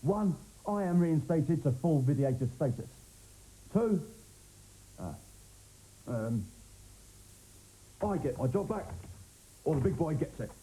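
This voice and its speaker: A man's voice: a little high, animated, slow pace, pauses often, dark tone, low-quality recording. Sly, mock-formal man in a sketch. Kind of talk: comic sketch acting.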